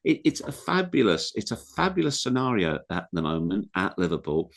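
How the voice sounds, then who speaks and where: A man's voice: fairly low pitch, big pitch swings, moderate pace, gravelly. A man talking as a guest on a football interview show, earnest and reflective, stressing his points. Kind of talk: casual interview chat.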